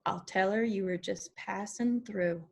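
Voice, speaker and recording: A woman acts a wounded grown daughter from a country bar family. She is sarcastic and dry, with a bite in her delivery and a medium-pitched voice. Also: unhurried, gravelly, darkish tone. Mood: bitter, biting. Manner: dry, biting. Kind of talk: screenplay table read.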